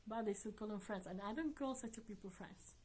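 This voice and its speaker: A woman vlogger chatting casually to her viewers in earnest, non-native English, often laughing softly. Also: medium pitch, fairly quick, a little hiss or hum. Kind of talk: casual chat to camera.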